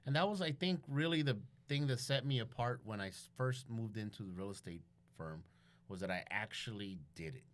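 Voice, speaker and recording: A man with a fairly low voice talks as a podcast guest. He tells stories casually and bluntly, swears often, and slips into quoted dialogue as he goes. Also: a little fast. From Guatemalan background. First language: bilingual English and Spanish. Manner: profane, blunt, animated retelling with quoted dialogue. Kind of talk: casual storytelling interview.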